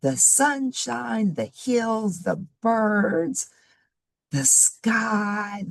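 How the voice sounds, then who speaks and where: A woman reading a picture book aloud for children, expressively and with gentle explanation. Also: a little high, lively intonation, drawn-out words, gravelly, dry recording. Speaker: storybook reader.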